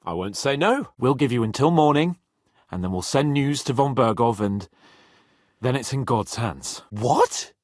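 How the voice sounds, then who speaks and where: An actor playing a proud, hot-headed young medieval nobleman in a dramatic video game, with a haughty and expressive delivery. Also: mid-range voice, no echo, studio quality. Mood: earnest, resolute, then questioning. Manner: haughty, expressive, swearing freely.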